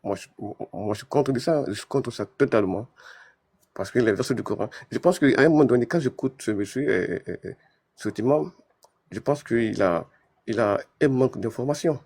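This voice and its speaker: A man's voice: medium pitch, lively intonation, normal speed, gravelly, a little mellow, slightly rough, dry recording. A man in a live discussion who argues his point with many repeated words and restarts. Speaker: debate panelist.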